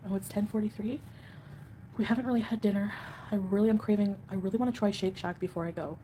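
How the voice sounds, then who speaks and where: A woman's voice: mid-range voice, fairly even intonation, fast talker, dark tone, a little hiss or hum. A woman hosting a casual livestream at home, chatting with viewers and answering comments in a rambling, defensive way. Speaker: livestream host.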